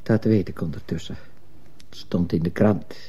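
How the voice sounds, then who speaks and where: An actor playing a mild, probing priest in a radio play, gentle and wry, with a dark, husky voice. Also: male voice, sits low, normal speed, lo-fi sound. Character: mild, probing male priest in plain clothes. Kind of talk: Dutch radio play acting.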